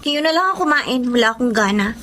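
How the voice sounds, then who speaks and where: A woman's voice: fairly high pitch, animated, quick pace, bright, forceful tone, a little hiss or hum. A woman acting a sulky, stubborn, resentful young daughter in a Filipino drama, speaking in a petulant, emphatic way. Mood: sulky, angry.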